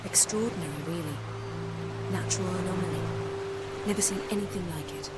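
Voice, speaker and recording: An actress playing a haughty, sardonic sorceress in a video game, speaking in an imperious, articulate way. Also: female voice, fairly low pitch, a little fast, loud music behind the voice, close to an everyday voice. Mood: inquisitive, businesslike. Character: haughty, sardonic sorceress, poised adult woman. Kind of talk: video game cutscene acting.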